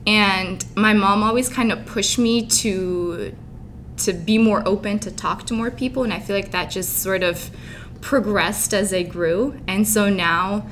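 A woman's voice: fairly even intonation, measured pace, a bit bright. A young woman who grew up in Canada and Dubai, chatting informally and openly as an interview guest. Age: young adult. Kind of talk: casual chat. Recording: clear background rumbling noise.

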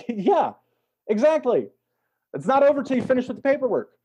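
A man speaking as an interview guest, an actor talking in a thoughtful, reflective way with measured phrasing and emphatic swells. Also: very high voice, very animated, a little mellow, a little hiss or hum. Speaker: podcast guest, stage and voice actor.

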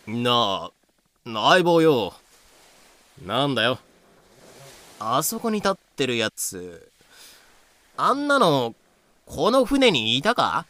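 An actor in a fan audio drama playing an excitable pirate sidekick with casual, reactive speech. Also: mid-range voice, slow pace, long pauses, heavily acted. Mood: suspicious. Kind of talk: Japanese fan-made audio drama acting.

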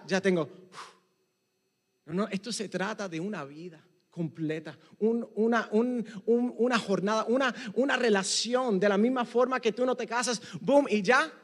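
High-voiced Puerto Rican pastor preaching. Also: male voice. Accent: Puerto Rican Spanish. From Puerto Rico. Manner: preaching, switching between Spanish and English; emphatic, rising and dropping to near hush. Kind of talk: sermon. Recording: long reverb of a hall.

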